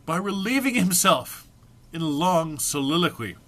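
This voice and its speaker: A man's voice: fairly high pitch, expressive, moderate pace, fairly bright tone. A man giving an amateur, theatrical dramatic reading of a humorous text, prone to amusement and stumbles. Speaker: amateur reader.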